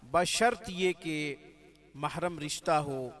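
A man gives religious instruction in a slow, measured, devout way, using Arabic and religious phrasing. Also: fairly high pitch, a bit bright. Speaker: spiritual healer teaching prayers.

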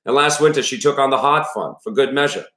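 A man's voice: medium pitch, quick pace, fairly bright tone, voice pushed up, natural voice. A man voicing a worried, plainspoken older father from a small town, with a Maine accent, in an audiobook-style reading. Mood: weary, reassuring. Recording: roomy echo around the voice.

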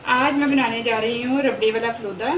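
Cooking host, woman, high voice, plain encouraging delivery; echoey, dull, noisy kitchen recording. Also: fairly even intonation, moderate pace. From Pakistan. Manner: simple, repetitive, encouraging instructions. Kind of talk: home cooking tutorial. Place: home kitchen.

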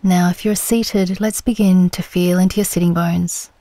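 Mellow, low-pitched woman guiding meditation. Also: fairly even intonation, no room sound. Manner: gentle, soothing, unhurried with long pauses. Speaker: meditation guide. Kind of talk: guided meditation.

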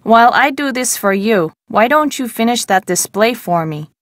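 An actress as a wry American shopkeeper, dry sound. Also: female voice, a little high, animated, moderate pace, no echo, natural voice. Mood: matter-of-fact. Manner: casual, dryly humorous. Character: wry, businesslike woman shopkeeper in ranch country. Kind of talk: scripted video game dialogue.

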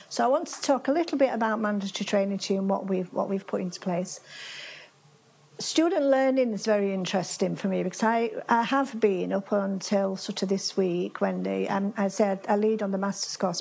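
A woman's voice: medium pitch, vocal fry, darkish tone, slightly rough, rough recording. An older woman with a Yorkshire accent giving a chatty conference talk in a seminar room, restarting phrases often. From Yorkshire, England. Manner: chatty, with frequent restarts and fillers. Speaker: conference speaker. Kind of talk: conference presentation. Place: seminar room with projector screen.

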